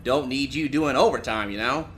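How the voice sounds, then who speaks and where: A man's voice: medium pitch, moderate pace, fairly bright tone, slight background noise, close to an everyday voice. A man playing an awkward, well-meaning boss in an audio drama, hesitant and stammering a little. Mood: awkward, polite. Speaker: voice actor.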